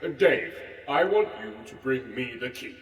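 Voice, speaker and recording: A man's voice: medium pitch, animated, moderate pace, close to an everyday voice. A man playing a mad, vain, forgetful old king in a comic audio drama, rambling and pompous. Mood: grandiose. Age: older. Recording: echoey hall, far from the mic.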